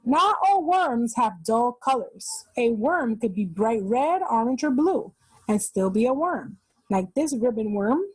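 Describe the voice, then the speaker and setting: A woman hosts a read-aloud for children in a husky, slightly high voice. She takes her time with each sentence, explaining and pointing things out. The sound is dry, with no room echo. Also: big pitch swings, darkish tone. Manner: explanatory, pausing to point out pictures. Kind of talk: reading a children's nonfiction book aloud.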